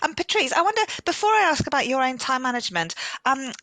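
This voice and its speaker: A woman's voice: sits high, sing-song, fast talker, bright, forceful tone, dry recording. Upbeat woman hosting a live student show. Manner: encouraging, upbeat, reads viewer comments and poll results aloud. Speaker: host of a live student webcast. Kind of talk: warm, chatty live-stream hosting.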